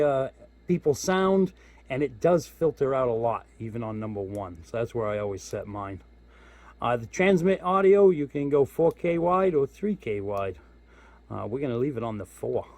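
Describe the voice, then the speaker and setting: A man's voice: mid-range voice, darkish tone. A man giving a casual hands-on product walkthrough as a hobbyist reviewer, informal and thinking aloud as he goes. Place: indoors at home.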